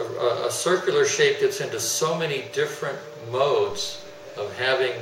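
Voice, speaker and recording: Guy doing a technical explainer, deliberate and teacherly, echoey room with a faint hum behind. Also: medium pitch. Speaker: presenter explaining loudspeaker technology. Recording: roomy, distant mic, faint hum.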